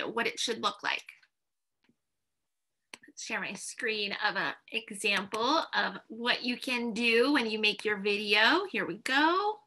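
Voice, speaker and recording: A woman teacher with a very bright, forward voice, pitched on the high side. She explains things to young students slowly and deliberately, in short simple phrases, as in a recorded online class. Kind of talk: instructional video lesson for young students. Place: small indoor classroom or home teaching room. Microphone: computer webcam at desk distance.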